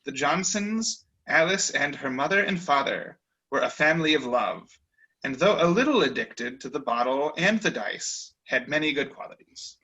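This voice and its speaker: A man reading literary narration aloud over a video call, measured and mock-grand. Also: on the high side, expressive, pushed and bright, slightly rough, dull audio. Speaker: reader of the story's narration. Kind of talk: dramatic reading.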